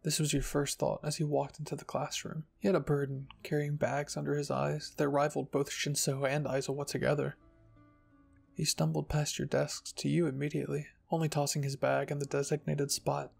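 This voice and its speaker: Husky, even-toned male ASMR narrator. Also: on the high side, fairly quick, soft background music. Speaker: narrator and channel host. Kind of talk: ASMR fan fiction reading.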